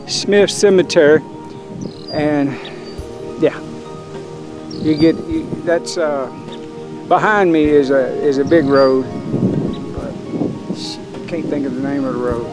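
A man in his fifties vlogging casually on a rural roadside, rambling and thinking aloud in a folksy way as he films. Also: a little high. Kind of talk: casual vlog narration. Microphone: camera held by cyclist.

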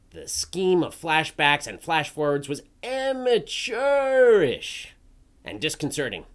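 A performer voicing an indignant, theatrical crow puppet in a dramatic reading, pompous and scornful with drawn-out emphasis. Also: medium pitch. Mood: bitter, exasperated. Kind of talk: dramatic reading of an old forum post.

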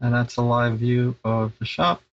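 A man's voice: mid-range voice, normal speed, gravelly, highs cut off. A middle-aged man giving a casual, informal step-by-step tech tutorial, sometimes hesitating and repeating himself. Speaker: tech installer presenting a how-to tutorial.

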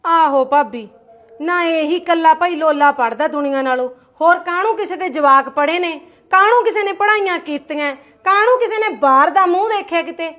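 A woman's voice: extremely high pitch, brisk, a bit bright. A woman, acting an aggrieved sister-in-law who complains and taunts with rhetorical questions in a family skit. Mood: complaining. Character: aggrieved Punjabi aunt, taunting and sulky.

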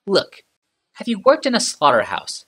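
A sardonic, morbid female inventor, as a male reader puts her on, speaking in a calm, almost conversational way. Her pitch climbs and swoops with wide, restless movement. The tone stays bright and clear throughout the audiobook reading. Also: normal speed, exaggerated voice. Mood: musing.

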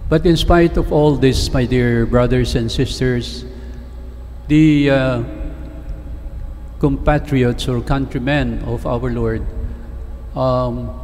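An older man with a Filipino accent delivers a homily in a medium-pitched voice. He speaks haltingly, pausing often to find the next phrase. His words ring through a reverberant church, with clear noise behind them. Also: steady tone, darkish tone. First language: Tagalog. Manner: halting delivery with long pauses and frequent hesitations. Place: large indoor church, at the lectern. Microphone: lectern microphone.

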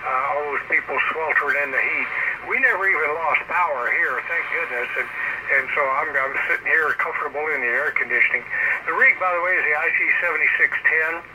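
A man's voice: fairly high pitch, fairly quick. Man on a very noisy radio link. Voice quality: radio-transmitted voice. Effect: shortwave radio transmission. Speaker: amateur radio operator. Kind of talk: casual ham radio conversation. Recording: very noisy radio static, speaker playback.

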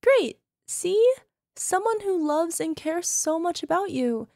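A woman's voice: very high-pitched, expressive, slow, creaky, a little mellow, dry recording, clean studio sound, exaggerated voice. A woman playing a doting, possessive young yandere wife in an ASMR roleplay, cooing and coaxing the listener with a controlling edge. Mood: delighted, doting.

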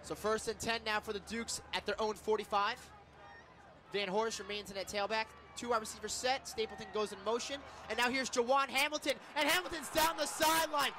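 High-pitched American football play-by-play man. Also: fairly even intonation, brisk, music in the background. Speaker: play-by-play sports announcer. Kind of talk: live American football radio commentary. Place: football stadium broadcast booth.

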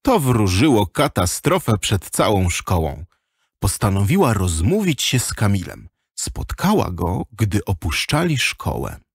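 Lively low-voiced male storyteller. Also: a little slow, slightly rough, no echo, clean studio sound. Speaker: narrator. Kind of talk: scripted storybook narration for children's audio drama.

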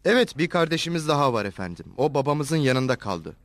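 A man's voice: fairly high pitch, very animated, a little fast, no room sound. A man playing an earnest grown brother in a cartoon dub, explaining himself respectfully. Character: earnest grown brother pleading with his elders.

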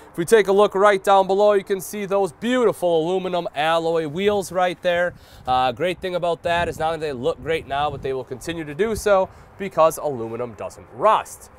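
Higher-pitched American man presenting outdoors. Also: a little hiss or hum. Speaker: RV product specialist and presenter. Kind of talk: sales walkthrough review, explanatory. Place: outdoor paved RV lot between parked trailers.

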